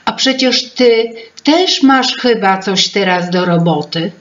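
A woman's voice: medium pitch, animated, slow pace, fairly bright tone, echoey room, highs cut off. Woman putting on a sulky male teapot. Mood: grumpy, weary. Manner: sulky, grumbling. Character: grumpy, weary male fairy-tale teapot.

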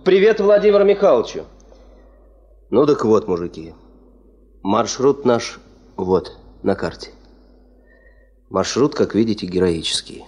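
An actor playing an eager, businesslike male expedition leader in a 1970s radio drama, brisk and hearty. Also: fairly low pitch, sing-song, darkish tone.

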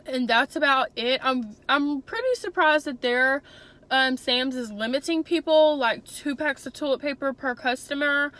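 A woman's voice: sits high, moderate pace, fairly bright tone. A young woman talking casually to a phone camera from her car, rambling with lots of fillers. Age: young adult. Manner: chatty, rambling, many "um" and "like". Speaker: vlogger. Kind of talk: casual vlog chat. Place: inside a parked and moving car. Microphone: phone camera on the dashboard.

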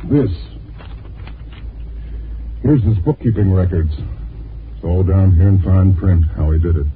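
An actor playing a shady, businesslike private detective in 1950s radio drama, curt and matter-of-fact. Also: male voice, deep voice, animated, slow pace. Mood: curt, sly. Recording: muffled, hissy 1950s radio recording.

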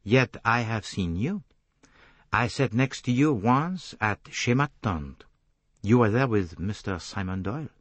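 A man voicing a gentle older male detective, measured and formal, in an audiobook novel. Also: lowish voice, animated, slightly rough, no echo, pitched above the performer's own voice. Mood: courteous, probing.

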